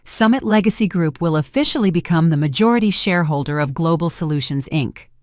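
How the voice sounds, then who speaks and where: A woman's voice: on the low side, animated, fairly quick, darkish tone, dry recording, clean studio sound, lightly acted. A woman voicing a loyal male aide, formal, deferential and businesslike, in a story. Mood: deferential, efficient. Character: loyal, efficient male aide reporting to his boss.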